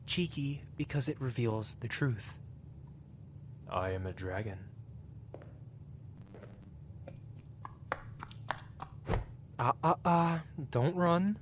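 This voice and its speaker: A man acts out a wealthy, possessive male dragon in an intimate ASMR roleplay. The voice sits at a medium pitch, with elegant, unhurried phrasing and a teasing purr. Faint noise sits behind the recording. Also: natural voice. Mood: teasing, reassuring.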